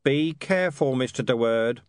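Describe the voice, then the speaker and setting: A stern watch commander, gruff and probing, who keeps pressing and won't let go, as a man voices him in a storybook reading. His pitch moves around a lot, and the sound is close and dry. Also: animated, moderate pace, dry recording, lightly acted.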